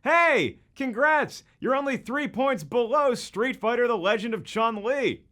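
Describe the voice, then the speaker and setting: A man's voice: extremely high pitch, normal speed, a bit bright. A man hosting scripted comedic commentary, with a wisecracking manner full of rhetorical questions. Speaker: host and comedian.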